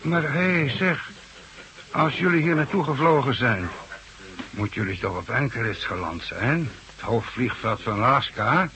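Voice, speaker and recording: A man's voice: medium pitch, expressive, moderate pace, sharp tone. An actor playing a chatty, devout barman in a remote bar, in the style of a Dutch radio drama. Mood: curious, sociable. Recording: dull, lo-fi old radio recording.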